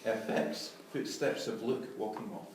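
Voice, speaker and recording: A man's voice: on the low side, unhurried. A man reading scene headings and sound-effect directions aloud from a script. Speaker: stage directions reader. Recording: echoey room, far from mic, faint noise.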